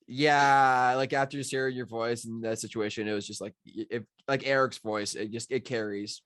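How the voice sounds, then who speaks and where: A person speaking informally in a group discussion, in loose, halting phrases. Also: medium pitch, steady tone, fairly bright tone. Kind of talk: casual praise in group discussion.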